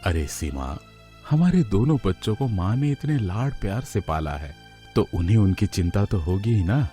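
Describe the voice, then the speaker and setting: A man playing a practical middle-aged father in a moral story, speaking in a measured, firm way. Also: lowish voice, big pitch swings, mellow, soft background music. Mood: calm, concerned.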